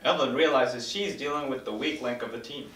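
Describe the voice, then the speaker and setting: A man's voice: mid-range voice, a little fast, fairly bright tone, slight background noise. A man reading stage directions as scripted narration at a table read. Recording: roomy, distant mic.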